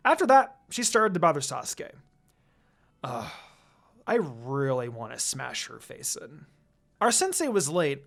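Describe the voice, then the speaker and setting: A fan-fiction reader narrating a first-person story in a dramatic, expressive way. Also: medium pitch, vocal fry.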